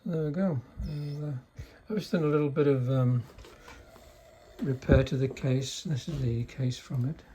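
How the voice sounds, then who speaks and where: A man giving a casual, unscripted technical demonstration in a halting, low-key way, like a restorer explaining equipment. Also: steady tone, mellow, a little hiss or hum, rough recording. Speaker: vintage radio restorer demonstrating a set.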